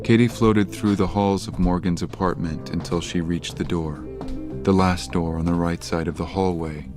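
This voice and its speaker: A man narrating a scripted audio drama in a measured, grave storytelling manner. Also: deep voice, fairly even intonation. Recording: music under the narration.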